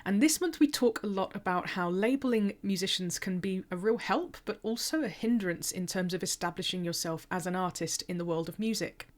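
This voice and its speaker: Enthusiastic woman hosting a podcast. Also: lowish voice. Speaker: podcast host. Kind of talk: scripted intro then interviewing.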